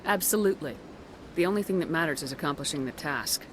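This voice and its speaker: A low-voiced, tough military commander. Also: female voice, animated, quick pace. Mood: firm. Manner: firm, direct, challenging. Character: tough, direct human female military commander. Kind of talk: video game dialogue. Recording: noisy background rumble.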